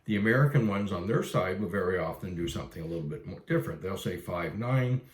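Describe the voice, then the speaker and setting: Low-pitched Canadian man in a roomy space. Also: expressive, fast talker. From Ontario, Canada. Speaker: amateur radio video presenter. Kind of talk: casual explainer for new hobbyists.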